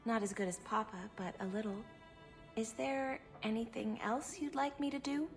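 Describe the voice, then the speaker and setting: An actress playing a timid young elven servant in a video game, meek, deferential and eager to please. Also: female voice, a little high, moderate pace, faint music behind, lightly acted.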